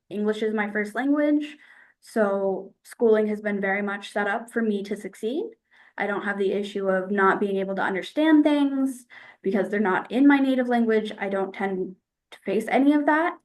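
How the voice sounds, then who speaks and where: A young woman from the United States answers interview questions in a casual, thoughtful way, pausing often to find her words. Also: medium pitch. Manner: thoughtful, hesitant, with fillers.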